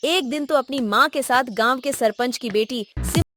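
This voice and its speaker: A woman's voice: high voice, moderate pace, noisy background. A woman narrator reading a scripted moral story.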